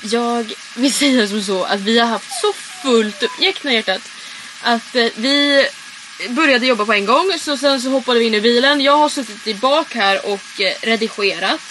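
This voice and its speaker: Bright, high-voiced young woman vlogger. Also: expressive, rough recording. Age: 20s. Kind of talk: casual chatty road trip vlog. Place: in and around a camper van and car. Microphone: handheld vlog camera. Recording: clear background noise, camera audio.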